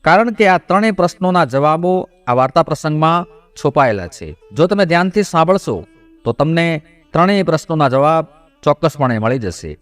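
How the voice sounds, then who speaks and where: A man narrates a devotional moral story in a measured, expository way, addressing his viewers directly. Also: a little high, expressive, dry recording. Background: background music.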